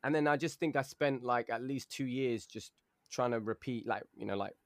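Man from England, singer guest, relaxed storyteller, dry close-miked sound. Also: medium pitch, moderate pace, no echo. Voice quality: relaxed storytelling, laughs often. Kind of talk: casual interview chat.

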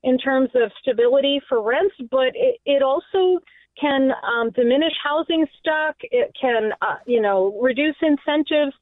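Brief: Midwest woman pundit, higher voice, halting with ums, tinny phone-line sound. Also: lively intonation, no echo. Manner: hesitant, many fillers. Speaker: political commentator. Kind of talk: radio panel discussion. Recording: phone-line guest, dry.

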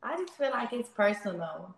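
A woman guest chatting casually, telling things in a slangy, comic, animated way. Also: mid-range voice, moderate pace, gravelly, echoey room. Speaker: interview guest. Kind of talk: casual chat.

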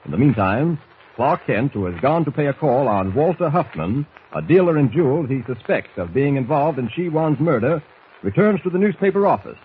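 A man's voice: medium pitch, sing-song, moderate pace. A man narrating a 1940s radio serial as announcer, declamatory and full of suspense. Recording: muffled, hissy vintage radio recording.

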